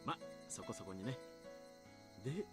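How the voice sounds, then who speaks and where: A man's voice: medium pitch, expressive, normal speed, lightly acted. A man voicing a genial, slightly bashful photographer of about thirty in an anime visual novel. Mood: friendly teasing. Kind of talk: Japanese anime game voice acting. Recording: game music underneath.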